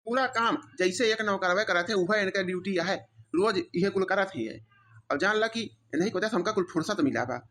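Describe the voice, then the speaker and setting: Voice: medium pitch, moderate pace, fairly bright tone, close to an everyday voice. A performer voicing a smug, mocking young husband in an Awadhi cartoon comedy, teasing and boastful with an Awadhi accent. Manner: teasing, boastful, rambling mockery.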